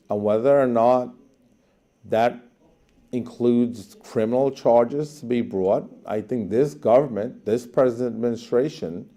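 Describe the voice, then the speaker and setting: Mellow man, deliberate interview guest. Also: mid-range voice. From Guyana. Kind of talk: earnest political interview answers. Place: TV studio.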